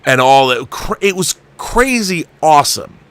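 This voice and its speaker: A man in his fifties with a bright, forceful voice set a little high. He talks casually about movies like an enthusiastic talk show host. His delivery is emphatic and excitable, with casual profanity. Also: a little slow, clean tone, dry recording. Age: 50s. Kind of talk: casual film chat.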